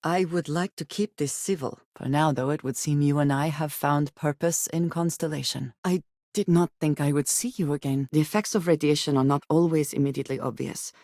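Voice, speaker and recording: A woman playing a guarded, earnest space explorer in a video game, speaking in measured, formal and precise phrases. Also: deep voice, fairly even intonation, darkish tone, no echo, studio quality. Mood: earnest, thoughtful. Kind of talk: video game character dialogue lines.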